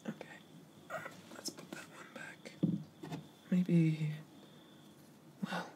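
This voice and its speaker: A man speaking softly in a breathy whisper close to the microphone, slow and courteous, roleplaying an eager weapons merchant for ASMR. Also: a little high, little pitch movement. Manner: slow, hesitant, courteous sales patter. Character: polite, eager male weapons merchant obsessed with swords.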